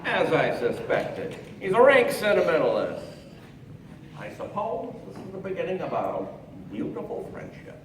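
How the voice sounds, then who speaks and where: An actor playing a wry, protective piano-player sidekick in a colloquial 1940s-style stage show. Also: male voice, high voice, wildly swooping pitch, normal speed, over a noisy crowd, exaggerated voice. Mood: amused, knowing. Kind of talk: live stage radio play, 1940s film parody. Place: theatre stage, live performance. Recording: very echoey, distant, noisy stage recording.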